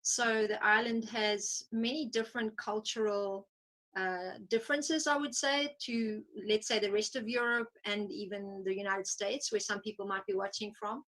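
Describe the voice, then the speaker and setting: A woman psychotherapist guest from South Africa, explaining her work in a thoughtful, measured way in an interview. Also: medium pitch, fairly even intonation, fairly bright tone, low-quality recording. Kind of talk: interview conversation.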